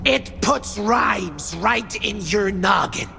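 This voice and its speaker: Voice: medium pitch, animated, slow, vocal fry, bright, forceful tone, in a large hall, a little hiss or hum, heavily acted. An actor playing a manic, torture-loving masked executioner in a video game, speaking in theatrical sing-song rhymes. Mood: gleeful, sing-song. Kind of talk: video game character acting.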